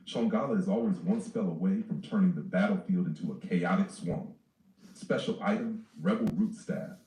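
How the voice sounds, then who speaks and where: A man reading a character and campaign sheet aloud in a flat, list-like way. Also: low-pitched, moderate pace. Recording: distant, echoey, faint noise.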